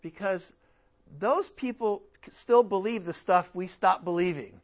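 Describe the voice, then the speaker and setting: A man preaching a sermon with a strained voice, nearly worn out, asking the congregation rhetorical questions and swinging between loud and soft. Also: high voice, measured pace, lo-fi sound. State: strained, almost losing his voice. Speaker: pastor.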